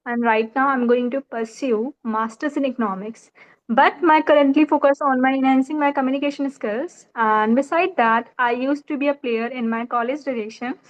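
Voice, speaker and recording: A woman's voice: high voice, normal speed, muffled recording. A young woman from Uttar Pradesh with an Indian English accent, talking earnestly and politely as an English learner on a video call, often restarting her sentences. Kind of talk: casual video call conversation.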